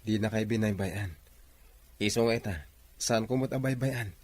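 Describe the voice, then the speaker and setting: A man's voice: fairly low pitch, moderate pace, close to an everyday voice. A man playing a devoted, determined young suitor in an audio drama, earnest and respectful. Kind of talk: Ilocano audio drama acting.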